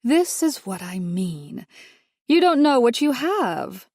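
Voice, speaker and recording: A woman's voice: fairly high pitch, sing-song, slow, darkish tone, no room sound, clean studio sound, voice pushed up, exaggerated voice. A woman voicing a wealthy older mother-in-law who talks in a sugary, condescending way, calling everyone dear and darling. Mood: sweetly condescending.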